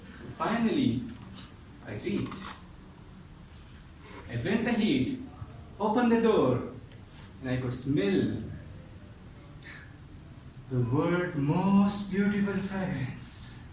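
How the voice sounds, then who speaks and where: A man delivers a theatrical story on stage in a high, very animated voice. He takes his time, stretching phrases and pausing for effect. The recording is very echoey and distant, with faint noise. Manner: dramatic, drawn-out delivery with pauses. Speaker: contest speaker. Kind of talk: theatrical storytelling speech to an audience. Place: echoey room at a speech contest. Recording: roomy echo, distant mic, faint noise.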